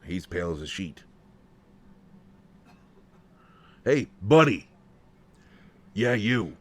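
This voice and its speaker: A man's voice: low-pitched, sing-song, measured pace, no room sound, exaggerated voice. A man playing a nervous, protective, old-fashioned country man in an intimate roleplay audio drama, speaking in a hesitant, folksy way. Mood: nervous, reassuring.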